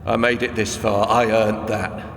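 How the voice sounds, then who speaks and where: A man playing a greedy, callous underworld scavenger who sneers in rough street slang in a fantasy audio drama. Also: fairly low pitch, level tone, a little slow, fairly bright tone. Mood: possessive, angry. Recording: echoey cavern reverb, background noise.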